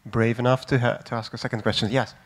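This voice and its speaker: A man moderating a conference panel, introducing speakers haltingly with many fillers. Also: mid-range voice, flat delivery.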